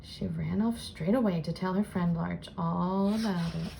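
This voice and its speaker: A woman reading a children's storybook aloud in an expressive storytelling style, as host. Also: lowish voice, unhurried, gravelly, a little hiss or hum, low-quality recording. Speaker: children's book reader and channel host.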